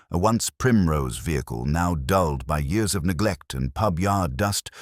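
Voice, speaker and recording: A man narrating a mystery story in a wry, measured, descriptive way. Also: deep voice, dry recording, clean studio sound.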